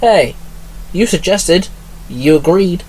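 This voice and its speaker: A cocky teenage boy sidekick, loud and pitched well above a grown man's voice, as a male narrator puts him on. He talks back with a grin in every line. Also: expressive, slow pace, husky, heavily acted, rough recording. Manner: cocky, grinning.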